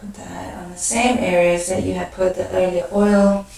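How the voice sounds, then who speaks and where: A woman leading a guided meditation, speaking gently and unhurriedly in short phrases with pauses between them. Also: fairly low pitch, little pitch movement, vocal fry. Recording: very echoey room, distant mic.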